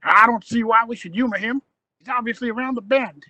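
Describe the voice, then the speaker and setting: A woman's voice: fairly high pitch, brisk, pushed and bright, dry recording. A woman playing a brash, impatient young male traveller in an audio drama, blunt and defiant in manner.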